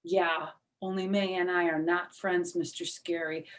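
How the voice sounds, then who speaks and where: An excitable little girl, as a woman reader puts her on, blunt and bratty in a children's storybook. She is dramatic and indignant, leaning hard on her words. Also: fairly low pitch, a little slow, natural voice. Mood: defiant. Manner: emphatic, bratty, childlike phrasing.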